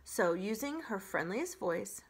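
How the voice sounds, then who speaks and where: A middle-aged woman reading a children's story aloud in an expressive storytelling style. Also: medium pitch, unhurried, slightly rough. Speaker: children's library story reader.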